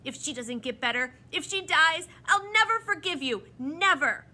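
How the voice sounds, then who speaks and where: A woman's voice: sits high, expressive, normal speed, sharp tone, pitched above the performer's own voice, exaggerated voice. A woman voicing a hot-tempered, fiercely loyal young boy in a storybook, emotional and defiant. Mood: choked with anger.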